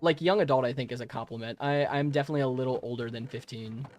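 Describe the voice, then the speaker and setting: A young man chats easily with his stream viewers, laughing often and restarting sentences as he goes. His pitch sits in an everyday middle range, and the modest home mic carries some faint music in the background. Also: fast talker. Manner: friendly, rambling, laughs often, many fillers and restarts. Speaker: live streamer answering chat. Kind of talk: casual live-stream chat while gaming. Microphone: modest home microphone.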